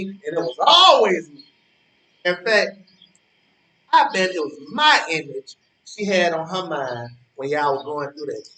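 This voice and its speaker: A man's voice: sits high, sharp tone, echoey room, slight background noise, full character voice, low-quality recording. A man playing a smug old man in a stage play, needling his rival with mock-genteel, theatrical taunts.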